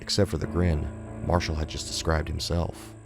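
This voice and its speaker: A man's voice: very deep voice, level tone, moderate pace, creaky, music in the background. A man reading scripted horror fiction as an audiobook narrator.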